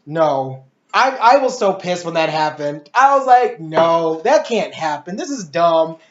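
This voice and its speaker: A woman's voice: lowish voice, very animated, unhurried. A woman giving an opinionated, casual movie review, ranting emphatically with swearing and a rambling, exasperated delivery. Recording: roomy echo, dull lo-fi sound.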